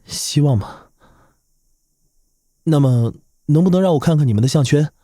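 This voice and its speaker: A man voicing an earnest, idealistic young human mage with a wry streak in an animated fantasy drama. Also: a little high, normal speed, no echo, studio quality, close to an everyday voice. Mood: puzzled, curious.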